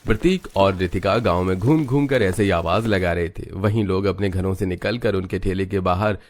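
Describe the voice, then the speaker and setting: A man's voice: low voice, moderate pace. A man narrating a scripted moral story as its storyteller.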